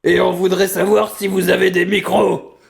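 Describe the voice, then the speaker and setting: A man acts out a blunt, naive building worker on a prank call. The voice is high for a man and heavy with creak, with a pestering, demanding manner. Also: level tone, moderate pace, background noise. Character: demanding, naive heavy-handed male builder, would-be drummer. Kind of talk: improvised prank phone call.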